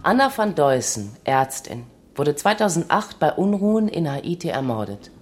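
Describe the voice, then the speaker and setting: An actress playing a wry, matter-of-fact police investigator in a radio drama. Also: female voice, sits low, very animated, moderate pace, faint music behind. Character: dry, teasing female police investigator.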